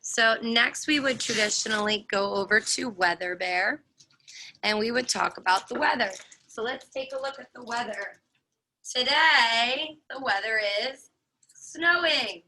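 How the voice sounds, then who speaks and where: A woman's voice: on the high side, measured pace, sharp tone, low-quality recording. A woman preschool teacher leading a virtual morning class, explaining things to young children in simple steps. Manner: instructive, addressing a group of small children.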